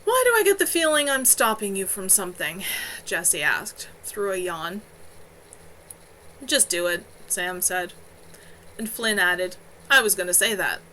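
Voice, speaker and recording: A woman narrating a novel as its author, in an expressive storytelling style with animated dialogue. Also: medium pitch, measured pace, long pauses, slight background noise, low-quality recording. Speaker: author reading own novel. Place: at home on a live stream.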